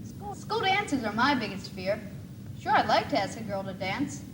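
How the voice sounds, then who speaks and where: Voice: medium pitch, big pitch swings, normal speed, lightly acted. A young teenage student playing a nervous, lovesick schoolboy in a school stage monologue. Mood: earnest, shy. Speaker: student actor in a school one-act play. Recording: noisy 1980s school video recording.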